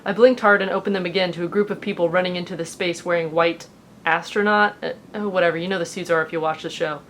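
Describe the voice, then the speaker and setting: A woman reading fan fiction aloud in an expressive, informal way, slipping into casual, self-mocking asides. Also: on the low side, fast, slight background noise. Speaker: fan fiction reader on a comedy show.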